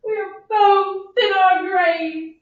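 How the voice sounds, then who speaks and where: A woman's voice: very high voice, fairly even intonation, slow pace, pitched above the performer's own voice. A woman voicing a melodramatic young widow in mourning, with a Southern accent and a theatrical, sighing delivery. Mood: mournful. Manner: theatrical, sighing, swings to wailing. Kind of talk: amateur play reading. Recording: echoey room, far from mic, dull.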